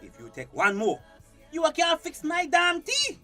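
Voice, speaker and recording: A man's voice: very high voice, wildly swooping pitch, moderate pace, bright, forceful tone, heavily acted. An actor playing a harsh, impatient, menacing man in a radio drama, with a Belizean Creole accent. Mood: impatient, scornful. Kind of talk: radio drama acting in dialect. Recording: distant radio drama, faint music behind.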